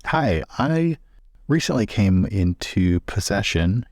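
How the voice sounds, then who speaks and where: Guy doing a polite bookish traveler in a tabletop game, measured and careful, dry sound. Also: lowish voice, big pitch swings, a little mellow, dry recording, heavily acted. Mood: careful, bluffing. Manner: measured, courteous, deliberate. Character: polite scholarly male traveler fibbing carefully to get help.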